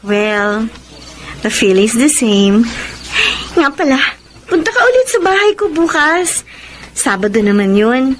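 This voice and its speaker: A seductive Filipino co-worker in a high, swooping voice. She is coy and teasing, drawing her words out slowly in radio soap style, with faint noise behind. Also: very animated. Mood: flirty. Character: flirtatious, sweet-talking seductive female co-worker. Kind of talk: Filipino radio melodrama acting.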